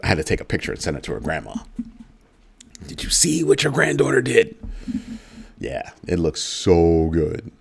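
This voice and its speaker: A man's voice: huge pitch swings, normal speed, creaky, pauses often, slightly rough. A middle-aged man from the United States hosting a crypto livestream, talking casually to his viewers and repeating phrases with playful asides. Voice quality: deep voice. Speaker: crypto show host.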